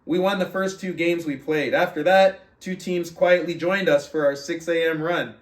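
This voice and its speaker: A man's voice: on the high side, fairly even intonation, normal speed. A man speaking as an earnest, conversational motivational book club host, moving between talking to listeners and reading aloud. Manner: earnest, conversational, rhetorical check-ins like "right?". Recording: roomy echo, distant mic.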